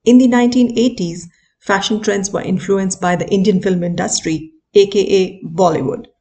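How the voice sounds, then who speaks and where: A woman host presenting a scripted segment, introducing guests in a clear, measured delivery. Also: medium pitch, dark tone. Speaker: podcast host. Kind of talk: scripted narration.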